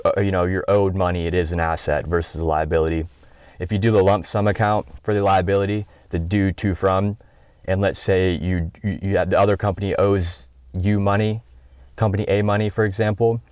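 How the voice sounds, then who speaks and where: An American man in his thirties with a low-pitched voice walks through a software tutorial. He explains step by step in a conversational, informal way. The sound is dry, with very little room in it. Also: dry recording. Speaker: tutorial instructor.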